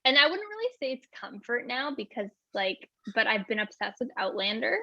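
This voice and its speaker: A woman in her twenties talks breezily about her music, bubbly and quick to laugh, filling every pause with "like." Her voice comes through muffled and lo-fi, with a dead dry sound like a video call. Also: on the high side, very animated, pushed and bright, dry recording. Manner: bubbly, says "like" constantly, laughs often. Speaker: singer-songwriter guest. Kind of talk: casual interview chat.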